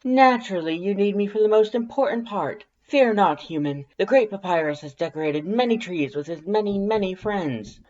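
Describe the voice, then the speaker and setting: A man's voice: high-pitched, lively intonation, normal speed, few pauses, a little mellow, lo-fi sound, pitched above the performer's own voice. A man voicing a pompous, boastful skeleton with grand theatrical delivery in a fan fiction audiobook. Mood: proud, boastful.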